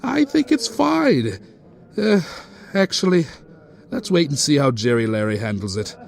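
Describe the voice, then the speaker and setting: An actor playing a pompous narrator of a comedic radio play, given to florid storytelling and fussy asides. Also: male voice, on the high side, very animated, measured pace, creaky, darkish tone, heavily acted. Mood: smug musing. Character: pompous, easily sidetracked radio-play narrator, smugly tactless.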